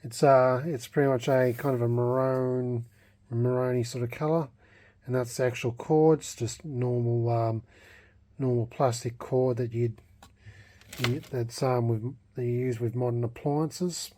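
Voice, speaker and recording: A man with a mellow, relaxed voice at a middling pitch talks through a hobby project in an unscripted vlog. He speaks haltingly and casually, feeling his way through each thought aloud. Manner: hesitant, halting, thinking aloud. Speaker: hobbyist vlogger restoring a vintage radio.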